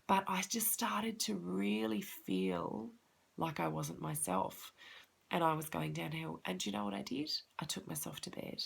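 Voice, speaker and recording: Low, gravelly-voiced congested woman. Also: expressive, a little slow. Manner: earnest and heartfelt. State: congested, sniffly. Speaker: wellness vlogger. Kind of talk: personal reflective talk to camera.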